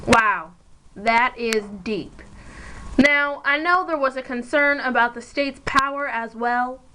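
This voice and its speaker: A showy, upbeat talk-show host from an amateur puppet play, put on by a young woman. The voice is fairly high and greets and presents in a scripted patter. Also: slow pace, sharp tone, clear, resonant tone, heavily acted, poor audio.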